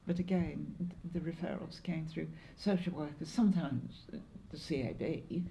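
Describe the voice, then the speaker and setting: An elderly woman with an English accent recalls her past in an oral history interview. Her voice is lowish and notably soft-edged and dark. She speaks in a measured, halting way, pausing as she remembers, with a little noise behind her. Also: creaky, poor audio. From Lancashire, northwest England. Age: 70s or older. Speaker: interviewee, longtime community volunteer.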